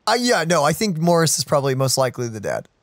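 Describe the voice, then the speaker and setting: A man's voice: a little high, sing-song, fast. A man giving casual gameplay commentary as a let's play co-host.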